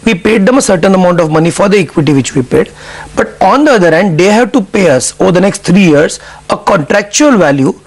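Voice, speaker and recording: A middle-aged man, explaining company plans in a measured, businesslike way in a news interview. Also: high voice, expressive, slightly rough, rough recording. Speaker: CFO guest.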